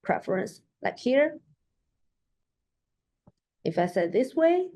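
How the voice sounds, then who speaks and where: A woman whose first language is Mandarin Chinese gives a casual screen-share tutorial of a software app, talking things through as she clicks and often saying "like" and "so that is to say". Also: sits high, very animated, slow pace. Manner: chatty, with frequent "like" and "so that is to say". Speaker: software demo presenter. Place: at a computer, recording her screen.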